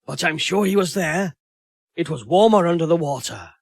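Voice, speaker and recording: Guy reader doing a trusting little kid in a folk story, earnest, innocent, highish lively voice. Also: moderate pace, vocal fry, no echo, clean studio sound. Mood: eager, reassuring. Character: trusting, fearless little boy in a folk tale.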